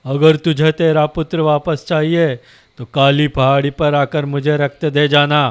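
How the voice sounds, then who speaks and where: A man voicing a wicked, menacing male demon in a cartoon story, delivering slow, booming threats. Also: level tone, smooth, close to an everyday voice. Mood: scheming.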